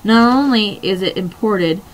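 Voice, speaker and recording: A hoarse woman voicing a relaxed, easygoing teenage boy. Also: mid-range voice, unhurried, natural voice, poor audio.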